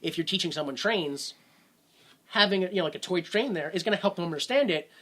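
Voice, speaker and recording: A man's voice: high-pitched, expressive, fast talker, pushed and bright. A man co-hosting a casual movie podcast, rambling with sarcastic asides. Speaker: podcast co-host.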